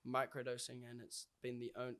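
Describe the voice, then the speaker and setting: A man's voice: medium pitch, monotone, normal speed, a bit bright, clean tone. A man with a New Zealand accent talking casually and informally, with plenty of slang, as a guest in a conversation. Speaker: podcast guest, hip-hop artist.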